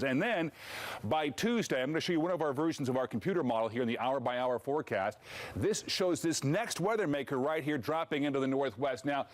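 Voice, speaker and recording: American man presenting weather. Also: medium pitch. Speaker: TV meteorologist. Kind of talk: live weather forecast.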